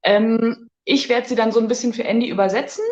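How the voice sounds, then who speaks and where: A woman co-hosting a casual livestream tasting, lively and chatty. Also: fairly high pitch, a little fast, reverberant, dull audio. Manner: lively, chatty, laughs easily. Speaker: co-host.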